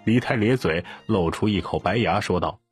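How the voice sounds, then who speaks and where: A man's voice: low-pitched, normal speed, breathy edge, faint music behind. A man reading an audiobook as narrator in a scripted, dramatic storytelling style.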